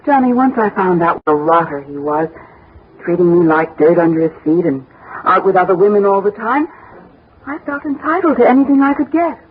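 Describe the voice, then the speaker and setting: An actress in a 1960s radio drama playing a brisk, flirtatious widow who talks bluntly and familiarly, with a husky voice. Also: female voice, fairly low pitch, animated, a little hiss or hum, close to an everyday voice. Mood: bitter, defiant. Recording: tinny, band-limited 1960s radio recording.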